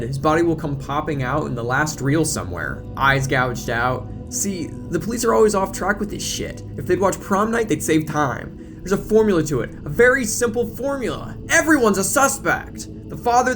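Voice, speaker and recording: A man voicing an excitable teenage know-it-all movie geek and video clerk, lecturing emphatically in an audio drama. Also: high-pitched, normal speed, hardly any pauses, music in the background, pitched above the performer's own voice. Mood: excited lecturing.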